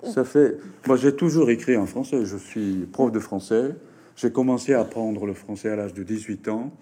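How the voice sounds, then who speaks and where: Guy talking french in an interview, husky and lowish voice, lots of hesitant pauses. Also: mellow. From Japan. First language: Japanese. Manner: hesitant, halting, with frequent fillers and restarts. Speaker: writer and French teacher, interview guest. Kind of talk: interview answers.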